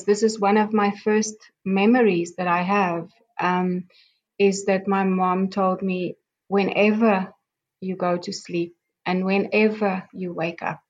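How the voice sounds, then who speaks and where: A middle-aged woman from South Africa, a native Afrikaans speaker with a South African accent, slowly telling her life story as a guest, with many pauses and ums. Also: medium pitch. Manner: slow, halting, many ums and restarts. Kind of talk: reflective personal storytelling. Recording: dull lo-fi sound.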